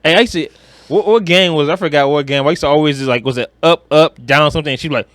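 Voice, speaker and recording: A man's voice: a little high, fast, fairly bright tone, no echo. A man co-hosting a podcast, chatting casually about movies in slangy, emphatic speech and acting out quotes. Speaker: podcast co-host.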